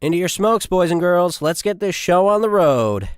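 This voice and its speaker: A man's voice: high voice, animated, normal speed, clean tone, no room sound, clean studio sound, voice pushed up. A man voicing a tough, fierce woman corporal who barks orders in an audiobook. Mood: brisk, rallying. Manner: barking, shouting orders. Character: tough, fierce female corporal, ambitious soldier.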